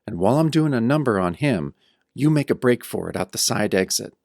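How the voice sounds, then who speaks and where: A man's voice: lowish voice, lively intonation, a little fast, creaky, darkish tone, no echo, clean studio sound. An actor playing a battered, wry tough-guy hero, narrating his own pulp horror tale in a radio-style audio drama. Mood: determined, wry. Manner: wry, hard-boiled first-person storytelling.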